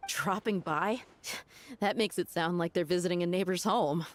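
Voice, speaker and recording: Casting: actress as easygoing desert woman in a game, lowish voice, dry and friendly delivery. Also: unhurried, lightly acted. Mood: wry, friendly. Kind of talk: video game voice acting.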